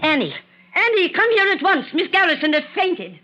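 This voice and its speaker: Haughty older matriarch, sharp and commanding, widely swooping intonation, vintage radio drama, dull old recording. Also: female voice, very high voice, very animated, moderate pace, soft background music, exaggerated voice. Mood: outraged. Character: imperious, scornful older mother, mistress of the house. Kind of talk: 1940s radio acting.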